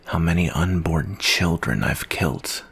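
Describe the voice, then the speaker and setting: Man acting a gravelly, very deep, jaded monster hunter. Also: expressive, fairly bright tone, full character voice. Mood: grim, bitter. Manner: slow, brooding, weary delivery. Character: jaded, guilt-ridden male monster-hunter soldier. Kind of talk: ASMR roleplay monologue.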